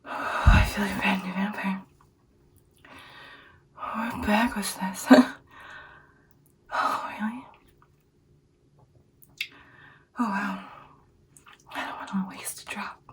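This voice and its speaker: A woman playing an ancient, caring female vampire in a whispered ASMR roleplay, speaking in soft, breathy, hesitant phrases. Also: mid-range voice, steady tone, takes it slowly, long pauses, far from the mic, lightly acted. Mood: relieved, revived.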